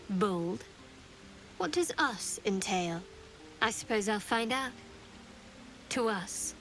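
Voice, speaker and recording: A woman voicing a guarded, wry young female cleric in a video game, soft and teasing in her delivery. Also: fairly high pitch. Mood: warm, teasing. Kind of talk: video game drama acting.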